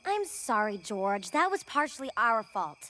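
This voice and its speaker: A woman's voice: a little high, sing-song, measured pace. A woman voicing a fussy, well-meaning cartoon friend who explains and coaxes. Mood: apologetic. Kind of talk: animated cartoon voice acting. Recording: loud music behind the voice.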